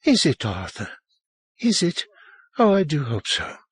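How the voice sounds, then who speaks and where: A shrill, hysterical woman, acted by a male storyteller, frightened and snappish. The pitch is pushed high and swings about with breathless, demanding emphasis, as in an audiobook melodrama. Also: sing-song, normal speed, slightly rough, no echo, clean studio sound. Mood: pleading, fearful. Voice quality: piercing, shrill.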